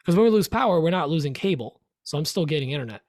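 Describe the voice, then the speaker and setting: High-voiced chatty man. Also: lively intonation, rapid-fire, a little mellow, no room sound, clean studio sound. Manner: chatty and upbeat, trailing restarts. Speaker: podcast co-host. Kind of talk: casual chat.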